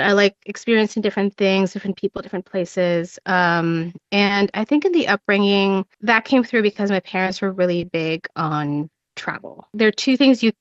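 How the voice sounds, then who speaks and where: A woman's voice: medium pitch, dry recording, lo-fi sound. A woman in her thirties who grew up in Ecuador, chatting as an interview guest with lots of "like" fillers and easy laughter. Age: 30s. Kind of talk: casual interview chat.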